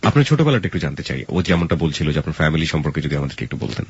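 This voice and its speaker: A man with a husky voice hosting a conversational radio interview, asking guests questions. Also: extremely low pitch, very animated, rapid-fire, no echo, muffled recording.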